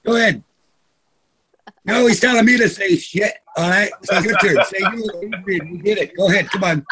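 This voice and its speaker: A man's voice: high-pitched, moderate pace, bright, forceful tone, low-quality recording. A man joking and teasing as a guest in a casual group chat. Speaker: panel guest.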